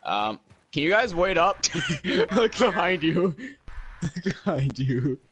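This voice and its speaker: Excitable profane young man gaming. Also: high-pitched, takes it slowly, slight background noise. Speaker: gamer on voice chat. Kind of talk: casual gaming banter.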